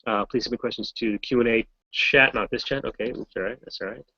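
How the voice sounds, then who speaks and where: A voice actor from Massachusetts answering fan questions in a casual, rambling, self-deprecating way over a video call. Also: male voice, medium pitch, quick pace, sharp tone, husky, dry recording, highs cut off. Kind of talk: casual Q&A chat.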